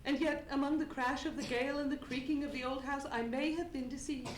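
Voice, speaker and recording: A woman acting as a grieving, fearful young gentlewoman in an old-time radio mystery. Her voice is very high and her storytelling formal and theatrical. The live stage recording is reverberant, with clear background noise. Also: steady tone, fairly quick, close to an everyday voice. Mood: sombre, earnest.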